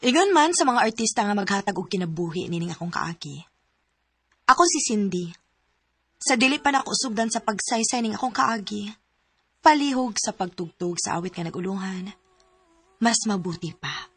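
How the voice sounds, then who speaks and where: A woman's voice: medium pitch, animated, normal speed, long pauses, fairly bright tone, husky, no room sound. An actress playing a hesitant, heartbroken young woman in a radio drama, with a Cebuano Filipino accent. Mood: earnest. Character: heartbroken young woman telling her love story, pleading, hesitant.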